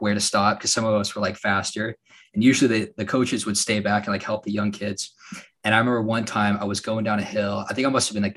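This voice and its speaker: Laid-back slightly raspy young male host. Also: fairly low pitch. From Alaska. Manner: relaxed storytelling with frequent fillers and laughs. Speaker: podcast host. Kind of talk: casual podcast chat.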